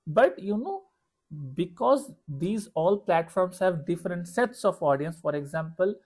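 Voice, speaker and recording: A young man from Bangladesh with a Bangladeshi accent, a freelance ad marketer earnestly pitching his services to a client on a call. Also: animated, a little slow, darkish tone. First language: Bengali. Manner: earnest, reassuring, fillers of "you know". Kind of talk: sales call.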